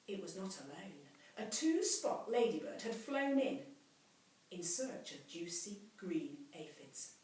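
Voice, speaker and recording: Echoey, expressive woman storyteller. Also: fairly low pitch, far from the mic. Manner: dramatic, with hushed, drawn-out phrase endings. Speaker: teacher reading a story to children. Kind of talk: expressive children's storybook narration. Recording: very echoey room, off mic, faint hiss.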